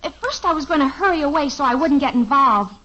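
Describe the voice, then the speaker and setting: A woman's voice: sits high, animated, quick pace, pushed and bright. An actress playing a dreamy, boy-struck teenage daughter in a 1950s radio sitcom, gushing and breathless. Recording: dull lo-fi old radio transcription.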